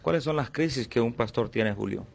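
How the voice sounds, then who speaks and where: A man's voice: medium pitch, expressive, a little fast, vocal fry. A man interviewing a guest, asking questions and chiming in with short agreements that complete the guest's thoughts. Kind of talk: conversational interview.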